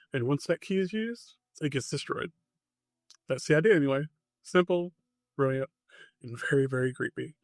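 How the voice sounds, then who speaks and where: A man hosting a podcast, narrating from a script in short, suspenseful phrases with dry, deadpan humor. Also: medium pitch, no room sound. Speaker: podcast host.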